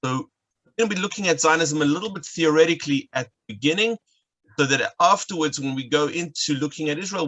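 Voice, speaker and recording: A man from South Africa with a South African accent, an educator giving a lecture over a video call, explaining in a brisk way with fillers. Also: fairly high pitch, sharp tone, low-quality recording. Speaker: educator and guide. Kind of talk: online lecture. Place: home, at night.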